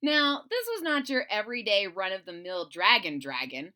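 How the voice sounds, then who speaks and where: A woman reading a children's story aloud in a theatrical, playful way, pausing to explain things simply to young listeners. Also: mid-range voice, lively intonation, sharp tone. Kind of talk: expressive children's read-aloud with simple asides.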